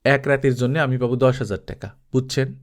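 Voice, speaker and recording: A man voicing a hard, bitter young stage actress in a story reading, with a sharp, insistent, colloquial manner. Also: mid-range voice, a little fast, lightly acted. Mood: bitter, defiant.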